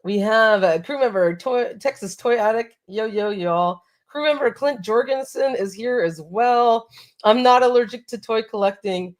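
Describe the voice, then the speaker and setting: A woman's voice: a little high, moderate pace. A woman co-hosting a live toy stream, congested from allergies, upbeat and laughing often as she greets chatters. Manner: upbeat, laughs often, reads out chat names. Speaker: co-host. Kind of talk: casual live-stream chat.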